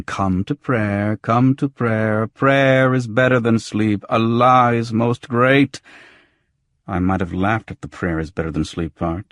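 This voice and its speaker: A man's voice: on the low side, dry recording, studio quality. A man reading a first-person noir audiobook, telling the story in a dramatic manner with emphatic swells. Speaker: audiobook narrator.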